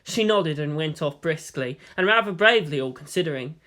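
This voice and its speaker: Narrator: man with a lightly high voice, delivering prose fiction as a scripted read. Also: expressive, fairly quick, sharp tone.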